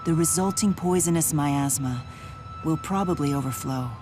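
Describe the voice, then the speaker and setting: An actress voices a dignified spirit swordswoman in a video game. Her voice is notably low, formal and measured, steadfast in tone. Soft music sits behind her. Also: natural voice. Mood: grave. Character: solemn, noble spirit woman with a sword.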